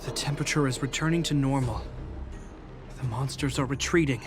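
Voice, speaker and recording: An actor playing an earnest, dutiful young guard captain in a video game, composed and formal. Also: male voice, mid-range voice, fairly quick, close to an everyday voice. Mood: composed, relieved. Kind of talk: video game character dialogue. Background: game music.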